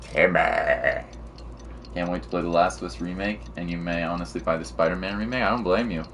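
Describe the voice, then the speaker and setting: A man with a low-pitched voice talks casually on a game livestream. His delivery is laid-back and slangy, like chatting with regular viewers. Faint music plays behind him. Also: a little fast, gravelly. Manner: laid-back slangy talk with mild swearing. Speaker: game streamer. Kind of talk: casual livestream chat and gameplay commentary.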